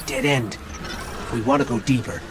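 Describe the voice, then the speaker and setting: A man's voice: mid-range voice, normal speed, over loud music, natural voice. A man voicing a resolute, watchful companion guide in a video game, serious and purposeful. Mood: focused. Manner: serious, purposeful, clipped tactical remarks. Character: resolute heroic male guide, grave and watchful.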